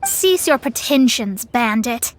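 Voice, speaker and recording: A woman's voice: a little high, animated, slow pace, a little hiss or hum. A woman voicing a proud young astrologer in an anime game, speaking in a haughty, formal, theatrical way. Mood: confident, scornful. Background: game music.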